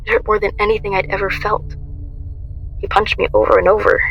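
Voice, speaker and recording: A woman's voice: mid-range voice, level tone, brisk, gravelly, dull audio, over music, lightly acted. A woman playing a young heroine who recounts her ordeal in intense first-person dramatic narration. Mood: grim recollection.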